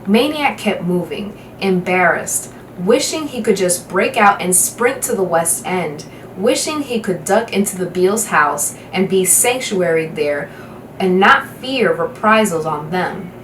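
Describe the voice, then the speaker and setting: A woman reading a children's novel aloud with measured, dramatic phrasing. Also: fairly low pitch, lively intonation, fairly bright tone. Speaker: guest storybook reader. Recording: roomy, distant microphone, faint background noise.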